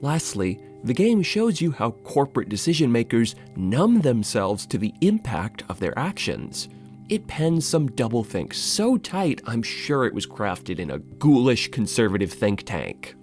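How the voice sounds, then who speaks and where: A man's voice: mid-range voice, huge pitch swings, unhurried, darkish tone, soft background music. A man narrating a scripted video essay as its host, in a wry, sardonic, casually sweary way.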